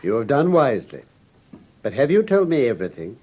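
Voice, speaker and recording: Posh english detective, old radio drama, witty and urbane, lots of lift in the voice. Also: male voice, mid-range voice, very animated, brisk, warm tone. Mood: grave, probing. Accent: English Received Pronunciation. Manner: precise, urbane, commanding. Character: shrewd, unflappable, drily witty English gentleman detective. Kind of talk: classic radio drama acting.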